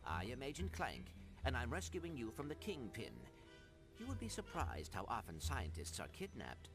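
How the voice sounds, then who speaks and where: An actor playing an earnest, polite little robot secret agent who speaks formally and precisely in a video game. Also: male voice, medium pitch, huge pitch swings, normal speed, over music. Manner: formal, precise, old-fashioned wording. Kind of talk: video game cutscene acting.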